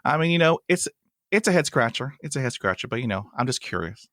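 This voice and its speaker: A man's voice: mid-range voice, sing-song, quick pace, a bit bright, no echo, studio quality. Enthusiastic man hosting a podcast. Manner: enthusiastic, stutters with blocks and repeats. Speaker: podcast host. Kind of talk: casual interview chat.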